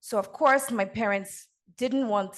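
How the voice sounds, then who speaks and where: A woman's voice: fairly high pitch, a little slow. A woman from Nigeria with an American accent learned over her Nigerian one, giving an earnest personal talk to a live audience. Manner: earnest, direct, addresses the audience warmly and at length. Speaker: conference speaker and podcast co-host.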